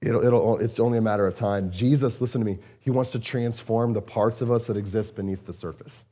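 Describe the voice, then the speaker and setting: Low, mellow-voiced pastor preaching earnestly. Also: male voice, fairly even intonation, fairly quick. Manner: earnest, repeats words and phrases, frequent fillers. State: voice strained, slightly hoarse. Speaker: lead pastor. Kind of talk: conversational sermon.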